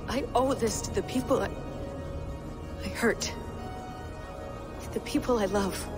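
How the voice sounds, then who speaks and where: An actress playing a blunt, determined modern heroine who swears, in a video game cutscene. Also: female voice, mid-range voice, a little slow, lightly acted. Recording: game audio with music behind.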